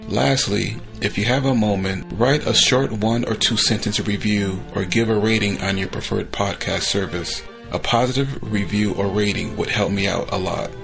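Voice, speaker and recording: A man's voice: fairly low pitch, moderate pace, creaky, few pauses, music in the background. A man hosting an old-time radio channel, giving a friendly, plain intro. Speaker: podcast host.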